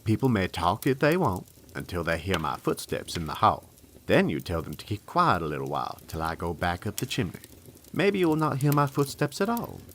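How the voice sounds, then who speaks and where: A man's voice: deep voice, huge pitch swings, brisk, a bit bright, slight background noise, full character voice. An actor playing a kindly, playful Santa Claus reading his letter fondly and expressively to a child. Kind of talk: dramatic reading of a letter in audio drama.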